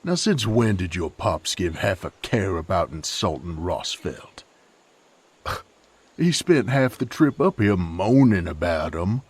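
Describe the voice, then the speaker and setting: Gruff, hot-tempered rustic knight, played by a man, audio drama; unhurried drawl, gravelly, sardonic. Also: low-pitched, full character voice. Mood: indignant. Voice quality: gravelly, husky. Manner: folksy drawl with rustic slang. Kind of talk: character audio roleplay acting.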